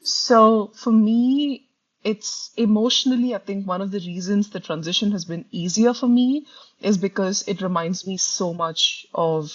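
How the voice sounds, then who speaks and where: A woman's voice: medium pitch, unhurried. A woman from India, co-hosting a podcast in a musing, casual chat and laughing often. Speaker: podcast co-host. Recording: slightly dull audio.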